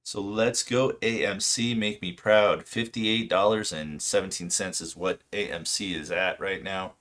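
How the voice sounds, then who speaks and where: A man in his late thirties, from Poland and raised in California, chatting casually and unscripted on a livestream in a halting, self-deprecating, rambling way. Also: low-pitched, steady tone, fairly bright tone. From Poland until age seven, then southern California. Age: late 30s. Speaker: livestream host, amateur retail trader.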